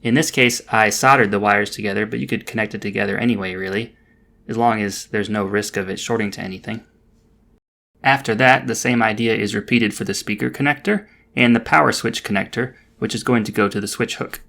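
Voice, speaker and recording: A man's voice: on the low side, a little fast, creaky, fairly bright tone. A man narrating a DIY project in a casual, explanatory voice-over with a dry, understated manner.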